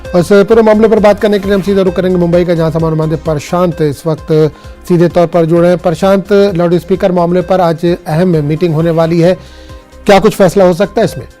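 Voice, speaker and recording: A man's voice: sits high, steady tone, brisk, warm tone, soft background music. A man anchoring the news, reading scripted reports and putting questions to a reporter.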